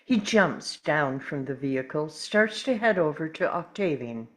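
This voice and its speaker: A woman narrating scripted stage directions at a table read, measured, with dramatic pauses. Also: low voice, expressive.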